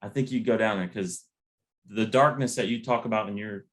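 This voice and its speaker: A man's voice: fairly low pitch, fairly quick, fairly bright tone. A man hosting a podcast, chatting casually about music in a laid-back, rambling, slangy way. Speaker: podcast host.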